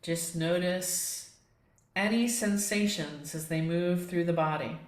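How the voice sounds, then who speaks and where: A woman leading a guided yoga relaxation as the instructor, speaking in a gentle, measured, inviting way. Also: fairly low pitch. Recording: echoey, reverberant room.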